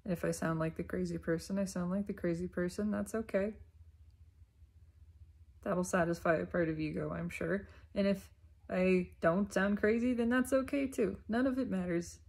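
A woman giving a reflective self-help monologue, unhurried and emphatic, often repeating her phrases. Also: fairly low pitch, fairly even intonation, creaky, darkish tone, breathy edge.

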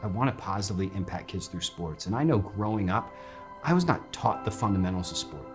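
A man's voice: low-pitched, expressive, fairly quick. A man speaking passionately and conversationally as a company executive in a promotional interview. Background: music.

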